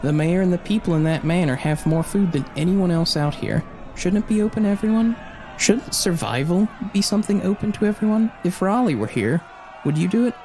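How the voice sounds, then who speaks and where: A man's voice: high voice, expressive, brisk, a little mellow, a little hiss or hum. A man playing a talkative, upbeat young drifter and loyal best friend in a post-apocalyptic audio drama, cheeky and earnest. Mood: earnest urging.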